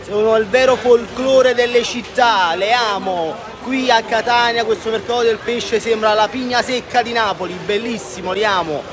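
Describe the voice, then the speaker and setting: A man's voice: high voice, normal speed, hardly any pauses. A man giving an enthusiastic walking tour of an outdoor street market, filmed on a handheld phone. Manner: enthusiastic, exclaims often. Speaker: travel vlogger. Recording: loud crowd and street noise.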